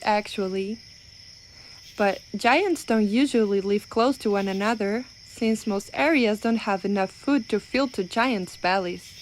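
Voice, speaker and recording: A woman playing a clumsy, well-meaning giantess in an ASMR roleplay, rambling and hesitant but gently reassuring. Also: on the high side, a little hiss or hum. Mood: chatty, eager.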